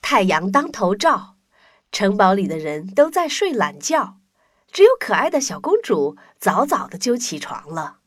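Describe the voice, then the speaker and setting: A woman's voice: medium pitch, very animated, a little slow, dry recording. A woman reading scripted narration for a children's story.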